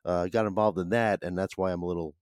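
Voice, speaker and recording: A man with a low, hoarse, raspy voice talks in a relaxed, chuckling way as a podcast host. His speech is full of filler words. The sound is close and dry. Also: fast talker, no echo. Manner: laid-back, chuckling, lots of "uh" fillers. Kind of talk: casual sports chat.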